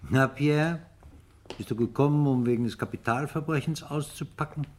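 An actor playing a skeptical police inspector in a radio crime drama, with a husky voice and a blunt, official manner. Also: male voice, fairly high pitch, moderate pace, darkish tone, natural voice. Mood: challenging. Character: gruff, skeptical male police homicide inspector.

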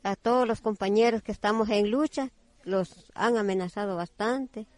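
A woman's voice: mid-range voice, moderate pace, dry recording. A woman activist gives spontaneous testimony in a mid-range voice. She is plain-spoken and emphatic. The recording is dry, with very little room sound.

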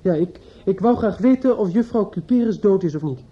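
A man's voice: sits high, animated, fairly quick, breathy edge. An actor playing a distraught, desperate young man in a radio play, hesitant and stammering as he speaks. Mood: desperate, angry. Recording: muffled old radio play recording.

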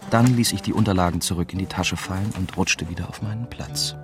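A man's voice: low-pitched, a little fast, music in the background, lightly acted. A man playing a reflective young journalist, narrating his story in a scripted radio drama. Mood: tense, hushed.

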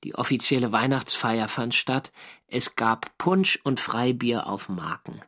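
Young navy diarist, mid-pitched, measured literary radio drama narration. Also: male voice, sharp tone. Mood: reflective. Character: young German navy sailor, diarist recounting war service. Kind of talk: scripted first-person radio drama narration.

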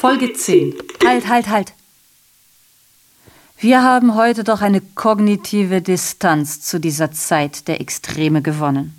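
An actress plays an ironic female messenger in a radio drama. She declaims at a medium pitch, sardonic and rambling, often interrupting her own train of thought. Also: slow, close to an everyday voice. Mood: lecturing, ironic. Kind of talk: theatrical radio drama monologue.

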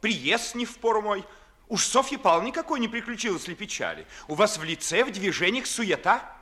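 An actor playing a proud, witty young gentleman suitor in a 1950s radio play, speaking with theatrical, ironic politeness. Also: high voice, very animated, fairly quick, heavily acted. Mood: polite, probing. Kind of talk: 1950s stage-style radio play acting.

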